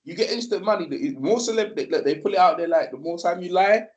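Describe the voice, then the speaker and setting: Animated man, rambling fast. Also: high voice. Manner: rambling, repetitive, animated conspiracy talk. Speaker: host. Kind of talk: casual live-stream chat.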